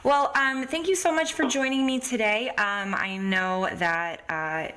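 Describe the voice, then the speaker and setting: A woman's voice: medium pitch, steady tone, a little slow, sharp tone. A woman hosting a podcast, introducing and interviewing in a chatty, conversational way. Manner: chatty, reacts readily to guest. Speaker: podcast host.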